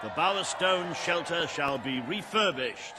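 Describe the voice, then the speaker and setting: An actor voicing a stiff royal court official in a video game, announcing in a formal, declamatory way. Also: male voice, on the high side, expressive, unhurried, heavy background noise. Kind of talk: scripted video game dialogue.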